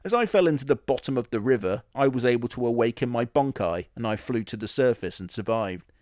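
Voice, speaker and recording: A man's voice: mid-range voice, lively intonation, brisk, darkish tone, no echo, clean studio sound. A man telling a crude comic story in a sardonic, matter-of-fact way, swearing casually. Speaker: comedy narrator in character.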